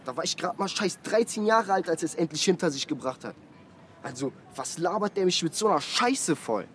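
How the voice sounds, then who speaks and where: A man's voice: high voice, expressive, brisk, fairly bright tone, slight background noise. A young man playing a blunt, worried working-class lad in a naturalistic radio drama, talking in brash street slang. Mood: bitter, agitated. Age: young adult.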